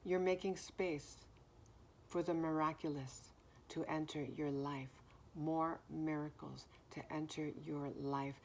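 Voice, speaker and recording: A woman giving a spiritual message in a gentle, soothing way, with a naturally deep voice that is somewhat hoarse. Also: steady tone, slow pace, a little hiss or hum. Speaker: spiritual card reader. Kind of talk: spiritual message, slow and reflective.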